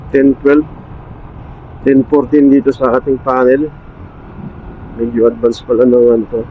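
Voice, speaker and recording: A man with a Filipino accent chatting casually to his vlog audience in short, offhand phrases, speaking into a helmet intercom mic while riding a motorcycle. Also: mid-range voice. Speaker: motorcycle vlogger. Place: outdoors on a motorcycle at night, in traffic. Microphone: helmet intercom mic under the visor. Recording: dull intercom mic with road noise. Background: faint vehicle and road noise.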